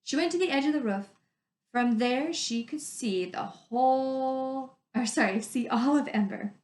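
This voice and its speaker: A woman's voice: on the high side, echoey room. A woman reading a storybook aloud as a teacher, in a narrating style with casual wording.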